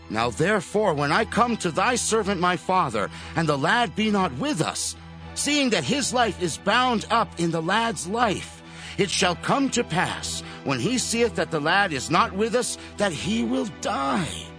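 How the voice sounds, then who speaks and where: A man playing a humble, anxious brother pleading before a lord in a dramatized Bible audio, in an imploring, declamatory manner. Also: fairly high pitch.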